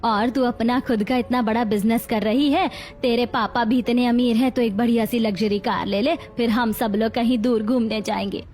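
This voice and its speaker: Scheming middle-aged mother-in-law played by a woman, high voice, flattering and chatty, moral-story drama. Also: moderate pace, hardly any pauses, soft background music, lightly acted. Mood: gushing. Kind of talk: acted Hindi family story dialogue.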